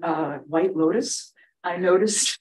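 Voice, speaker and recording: A woman's voice: on the low side, recorded from a distance, poor audio. Woman lecturing from across a room. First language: Ukrainian. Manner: measured, precise lecturing. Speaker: conductor and panel presenter. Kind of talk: prepared academic talk. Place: seminar room with a panel at a table.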